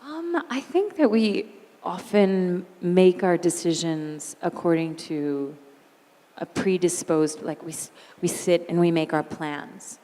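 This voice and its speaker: Raspy lady chatting onstage, lowish voice, big hall echo. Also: takes it slowly. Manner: candid, animated storyteller who laughs easily. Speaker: interview guest, singer and actor. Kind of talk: onstage conversation. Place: event hall. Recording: long hall reverb.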